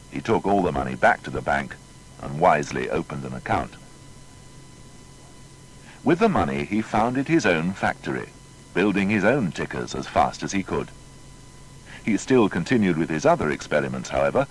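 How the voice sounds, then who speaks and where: A man narrating a scripted biographical documentary in a formal, dramatic storytelling manner. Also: rough recording. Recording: faint noise and low rumble.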